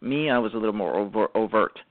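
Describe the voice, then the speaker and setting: A man hosting a radio show, speaking in a halting way that often restarts and repeats phrases as he gives commentary. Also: on the low side, no echo. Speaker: radio show host and writer-performer. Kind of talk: show commentary, semi-prepared talk. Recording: muffled lo-fi audio.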